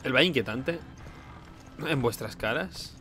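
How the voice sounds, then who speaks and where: A man's voice: medium pitch, expressive, slow pace, sharp tone, faint music behind. A man with an accent from Spain giving casual, sarcastic gameplay commentary as a streamer. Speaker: video game streamer.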